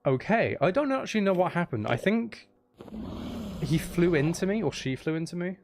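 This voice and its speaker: A man doing live, casual video game commentary as a streamer, animated and given to exclaiming and playful complaints. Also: high voice, normal speed, background noise.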